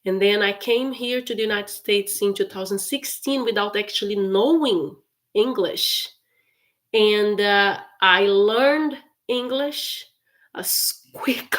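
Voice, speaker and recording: A woman teaches everyday English on a live stream. She speaks very slowly, repeats each phrase with care, and often laughs lightly between lines. Her voice sits at a medium pitch. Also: fairly bright tone. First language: Portuguese. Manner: slow, careful repetition of phrases with frequent laughs.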